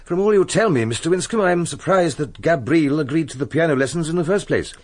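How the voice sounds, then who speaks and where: An actor playing a wealthy, shrewd English patron of music in a radio drama, genteel and authoritative with a brisk, probing manner. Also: male voice, on the high side, lively intonation. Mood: magnanimous.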